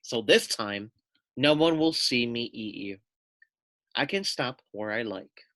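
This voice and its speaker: Man as a mumbling, hungry storybook wolf, unhurried. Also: medium pitch, expressive, a bit bright, dry recording, studio quality. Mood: determined, grumbling. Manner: mumbling, as if through a mouthful. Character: hungry, tired, gullible male storybook wolf.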